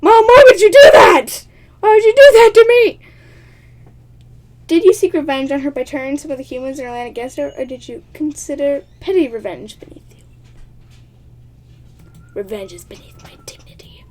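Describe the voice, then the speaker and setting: Brief: high-voiced woman reading a game aloud, chatty and excitable, stumbles over words, laughs at herself. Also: moderate pace, pauses often, slight background noise. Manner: laughs often, talks at herself. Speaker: gaming channel host.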